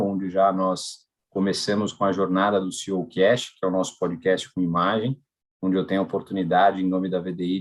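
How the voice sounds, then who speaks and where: A man with a Brazilian Portuguese accent hosting a live podcast, welcoming and chatty. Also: sits low. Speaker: podcast host.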